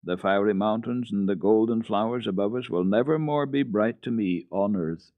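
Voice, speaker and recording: A man voicing a gentle, mournful old blind grandmother with a plaintive delivery, in a storybook reading. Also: fairly low pitch, moderate pace, no echo, phone-quality sound, lightly acted.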